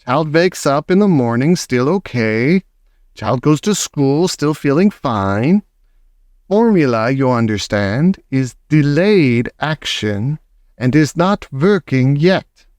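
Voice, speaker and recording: A man voicing a gloating old female witch leader who shouts in broken grammar. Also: mid-range voice, expressive, takes it slowly, no echo, studio quality.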